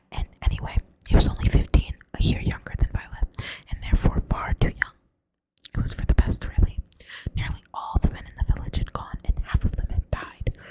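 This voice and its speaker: A woman with a Southern US accent reads a novel aloud in a soft ASMR whisper. She stumbles on words now and then and corrects herself without breaking the hush. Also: low-quality recording. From Southern United States. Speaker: reader.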